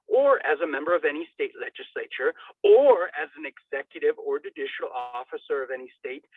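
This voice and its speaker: A man's voice: a little high, animated, brisk, breathy edge, dry recording. A man giving a dramatic, declamatory reading of a formal legal document, with emphatic swells. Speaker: reader. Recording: thin, phone-like band-limited sound.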